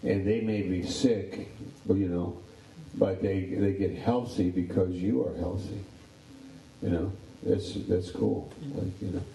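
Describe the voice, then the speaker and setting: Man, 60s, pastor, low voice, rambling chat, echoey room. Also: steady tone, measured pace, mellow, distant mic. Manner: rambling, repeats words, upbeat. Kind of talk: casual interview chat.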